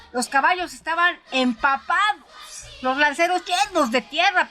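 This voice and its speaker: A woman narrating a story in the first person in a conversational, dramatized style, with hesitant pauses. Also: sits high, pushed and bright. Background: music.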